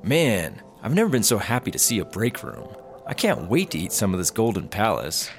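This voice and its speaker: An earnest, gullible young-at-heart startup worker in a comedy audio drama. His pitch leaps around excitably and he overplays every line. Music plays clearly behind him. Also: moderate pace. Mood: relieved, satisfied.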